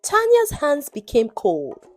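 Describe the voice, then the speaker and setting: A woman's voice: sits high, wildly swooping pitch, takes it slowly, a little mellow, soft background music. A woman narrating a scripted folktale in a dramatic storytelling style.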